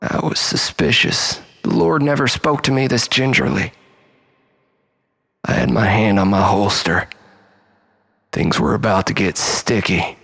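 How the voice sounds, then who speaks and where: A man performing a theatrical first-person monologue as a storyteller, melodramatic, swinging between exclamation and breathy hush. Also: on the low side, lively intonation, a little slow, long pauses.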